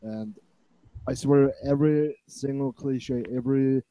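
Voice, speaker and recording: A man's voice: medium pitch, steady tone, a little slow, dark tone, dry recording, rough recording. Man with a Viennese Austrian accent. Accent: Viennese Austrian German. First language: German. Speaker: stream guest. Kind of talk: casual group chat.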